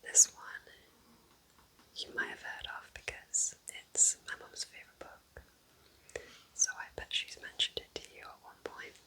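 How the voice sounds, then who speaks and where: Whispering woman, breathy ASMR book chat. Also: measured pace, pauses often, pushed and bright, low-quality recording. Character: kind witch welcoming a visitor to her cabin. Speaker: ASMR roleplay host.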